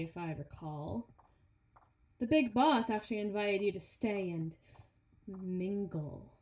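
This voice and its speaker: A woman's voice: medium pitch, a little mellow, natural voice. A woman playing a brawny, sardonic henchwoman who grumbles and teases in an intimate audio roleplay, with a conversational, sarcastic delivery. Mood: wry, grumbling. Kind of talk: ASMR audio roleplay, intimate one-sided dialogue. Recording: roomy, echoing voice recording.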